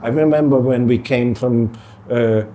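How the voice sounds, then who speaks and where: A middle-aged man, speaking as an artist in a relaxed conversation and pausing often to search for words. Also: mid-range voice, slight background noise. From Denmark. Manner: halting, searching for words with many fillers. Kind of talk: casual conversation.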